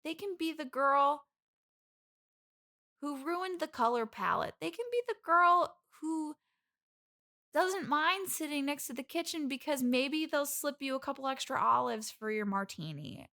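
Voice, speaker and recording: High, creaky, needy bridesmaid, acted by a woman. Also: expressive, a little slow, pushed and bright, exaggerated voice. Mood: earnest, wistful. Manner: rambling, earnest. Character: needy, lonely, chirpy expert bridesmaid desperate for friends. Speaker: podcast guest. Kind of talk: improvised comedy podcast interview.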